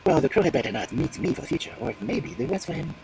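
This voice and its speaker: A woman voicing a cunning male fox in a storybook reading, with a calm, coaxing and falsely polite manner. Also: a bass voice, very fast, noisy background, full character voice. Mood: annoyed. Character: sly cunning male fox, smooth schemer.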